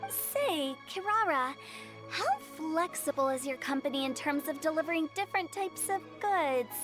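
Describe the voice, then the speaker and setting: A woman's voice: high voice, animated, moderate pace. A woman playing a young, eccentric funeral director, theatrical and playful, in a video game cutscene. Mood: playfully businesslike. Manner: theatrical, mischievous, salesy. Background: game music.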